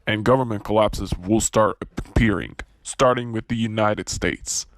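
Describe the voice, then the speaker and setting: A man playing an earnest, deliberate hero rallying fellow survivors in an amateur action drama. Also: on the low side, no room sound, natural voice. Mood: grave.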